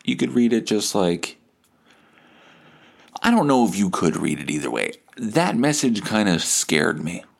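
A man in his thirties with a fairly low voice hosts a podcast. He tells a comic story in a casual, sweary way, acting out bits of dialogue as he goes. He comes from Winnipeg, Canada. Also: a little slow, gravelly. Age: 30s. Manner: casual, sweary, animated when quoting dialogue. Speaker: podcast host. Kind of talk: comedic storytelling, reading out a message exchange.

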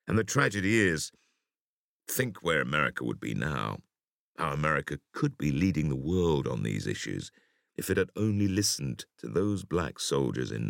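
A man with an extremely low, creaky voice reads an audiobook essay. His delivery is measured and emphatic, with deliberate pauses and a lively rise and fall in pitch. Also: no room sound, clean studio sound. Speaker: audiobook reader. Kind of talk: audiobook reading of an interview essay.

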